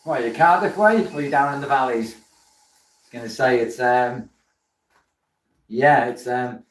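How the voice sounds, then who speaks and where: A man's voice: medium pitch, a little slow, roomy sound, far from the mic, low-quality recording. A man with a South Welsh accent chatting casually while he works, greeting viewers by name with plenty of fillers and a friendly manner. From Wales. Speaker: woodturning livestream host. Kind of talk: casual live chat while working. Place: workshop with a running lathe.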